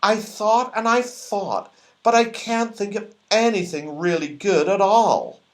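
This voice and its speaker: Bloke doing a high voiced magical little man from a storybook, kind, bit of a worrier. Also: a little slow. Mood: doubtful.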